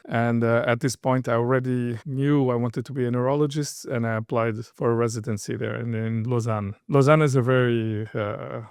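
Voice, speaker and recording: A man's voice: medium pitch, a little mellow, clear, resonant tone, no echo, clean studio sound. A man in his fifties from Portugal, a neurologist answering interview questions with many fillers and restarts. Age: 50s. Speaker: neurologist guest.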